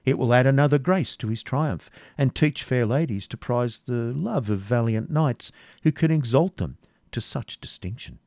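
A man's voice: mid-range voice, normal speed, darkish tone, no room sound, studio quality, close to an everyday voice. Man voicing a courtly, outspoken gallant knight. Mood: gallant, persuasive. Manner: courtly, declarative.

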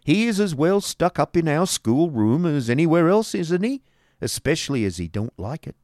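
A man's voice: fairly high pitch, sing-song, moderate pace, a little mellow, no room sound, clean studio sound, voice pushed up. A man voicing a bullying, self-important schoolmaster husband in an emphatic, blustering storybook manner, drawing out his words. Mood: self-satisfied.

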